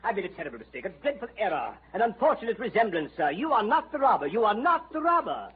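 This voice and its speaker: A man playing an English police detective in a radio drama, narrating in measured, log-like phrases. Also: high voice, very animated, a little hiss or hum. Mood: frantic. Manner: measured log-like narration, frantic when pleading. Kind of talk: 1940s radio drama acting. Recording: tinny old radio broadcast with music.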